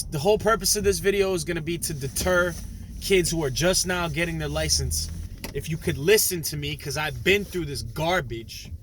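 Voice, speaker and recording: Young guy vlogging, kinda high voice, slangy and animated, faint car hum behind. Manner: slangy, animated, talks straight to camera. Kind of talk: casual storytelling vlog.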